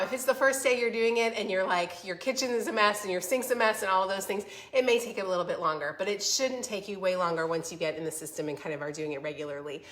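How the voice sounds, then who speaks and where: A woman's voice: mid-range voice, fairly bright tone. Stuffy-voiced woman chatting on livestream. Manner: chatty, encouraging, addresses viewers directly. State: stuffy, congested voice from a cold. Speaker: vlog host selling a home planner. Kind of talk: casual livestream chat, answering viewer comments.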